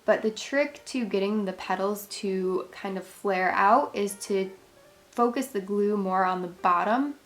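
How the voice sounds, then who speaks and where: A woman's voice: medium pitch, measured pace. A woman hosting a craft tutorial, giving chatty step-by-step instructions, her voice congested and a little worn. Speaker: craft channel host.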